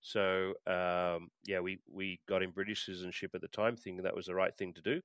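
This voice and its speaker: Australian man, smooth and low. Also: flat delivery, a little fast, a bit bright, no room sound. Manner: hesitant, many fillers and restarts. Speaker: podcast guest. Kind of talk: casual interview chat.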